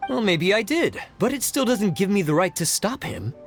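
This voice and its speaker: An actor playing a polite, somewhat defensive shopkeeper in a video game, explaining himself expressively. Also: male voice, high voice, very animated, normal speed. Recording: music playing underneath.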